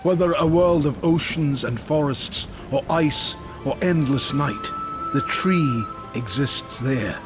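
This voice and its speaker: A man acting a wise old maker in a video game, solemn and measured, speaking like an oracle. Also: a little high, over music. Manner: measured, oracular.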